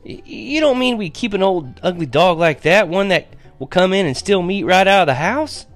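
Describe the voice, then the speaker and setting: A man's voice: on the high side, sing-song, fast talker, faint music behind, pitched above the performer's own voice. A man voicing an indignant, stubborn country boy in a storybook reading, folksy and protesting.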